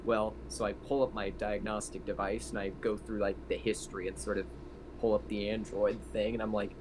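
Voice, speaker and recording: Low-voiced man gaming over a noisy mic. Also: little pitch movement, a little fast. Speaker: tabletop game player. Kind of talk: casual, halting in-character game chat.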